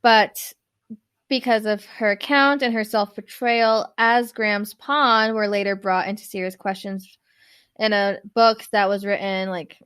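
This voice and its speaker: A woman's voice: medium pitch, fairly even intonation, a little slow, a bit bright, clean tone. A woman co-hosting a podcast, retelling a true crime case in casual chat, rambling with lots of "like"s and tripping over long words. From New York. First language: Spanish. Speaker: podcast co-host.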